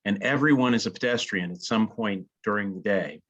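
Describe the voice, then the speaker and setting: Slightly low-voiced man presenting, hesitant. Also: brisk, a bit bright. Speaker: co-host, presenter. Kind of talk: semi-scripted slide presentation.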